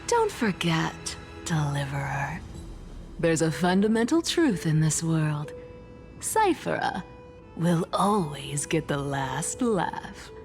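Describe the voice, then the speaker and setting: A woman's voice: deep voice, big pitch swings, takes it slowly, a little mellow, faint music behind, heavily acted. A woman voicing a sly, cocky trickster demigod in a game, playful and boastful. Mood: cocky, teasing. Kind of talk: scripted game voice acting.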